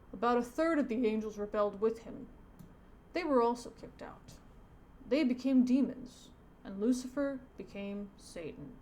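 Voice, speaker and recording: A woman's voice: fairly high pitch, a little mellow, close to an everyday voice. A woman voicing a solemn, instructive male pastor as she reads a comic aloud. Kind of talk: reading comic dialogue aloud. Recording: noticeable background noise.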